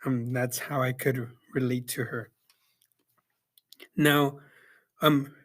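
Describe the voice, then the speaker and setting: Young male reviewer, slightly high voice, halting and filler-heavy delivery, casual. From El Salvador. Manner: hesitant, many ums and restarts. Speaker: book reviewer. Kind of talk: casual spoken book review. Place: Yakima, Washington.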